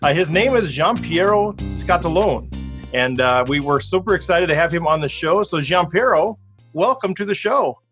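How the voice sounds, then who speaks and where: A man's voice: on the high side, expressive, normal speed, fairly bright tone, faint music behind. A man hosting a podcast interview, chatty and upbeat, laughing easily as he talks. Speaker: podcast host. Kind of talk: casual interview chat.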